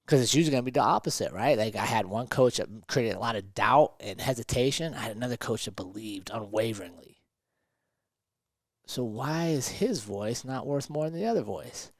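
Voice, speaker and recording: A man's voice: mid-range voice, lively intonation, brisk, no echo. Emphatic man talking motivation, close and dry. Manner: emphatic, asks rhetorical questions. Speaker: podcast host and self-improvement coach. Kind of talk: conversational motivational podcast talk.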